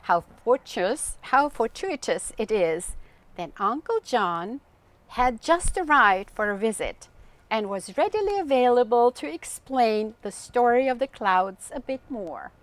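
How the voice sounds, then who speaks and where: A woman reading a story aloud to children in an expressive, deliberate, theatrical way. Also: fairly high pitch, no echo.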